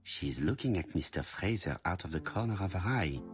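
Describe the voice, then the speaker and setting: A man's voice: deep voice, lively intonation, a little fast, over music. A man playing a courteous, self-assured older detective in a video game, with a French accent and formal, precise phrasing. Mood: observant, musing. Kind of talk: video game dialogue and inner monologue.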